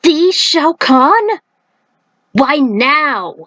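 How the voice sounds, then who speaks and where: A brash male action hero, played by a woman reader, exclaims in a raised, high pitch. He is indignant and defiant, a storybook fighter facing monsters, heard in a dry sound. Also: very animated, very slow, fairly bright tone, no echo, muffled recording, exaggerated voice. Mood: shocked.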